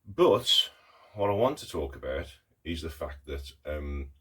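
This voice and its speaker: Middle-aged man vlogging, very low husky voice with a croak from a cough, halting and earnest with many pauses. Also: very animated, fairly bright tone. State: hoarse with a cough. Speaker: artist vlogger. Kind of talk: casual talk to camera.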